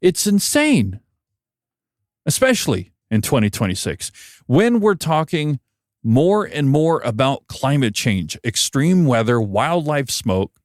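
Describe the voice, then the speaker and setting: American man, animated wry podcast monologue. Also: mid-range voice, takes it slowly, no room sound, studio quality. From United States. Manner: wry, emphatic, opinionated. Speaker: podcast host, broadcast engineer. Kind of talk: opinion podcast monologue, conversational.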